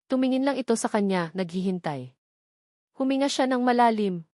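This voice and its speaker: A woman with a Filipino accent reads a scripted romance drama as narrator, in a measured, dramatic storytelling manner with short pauses. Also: a little high, animated, warm tone, dry recording, studio quality.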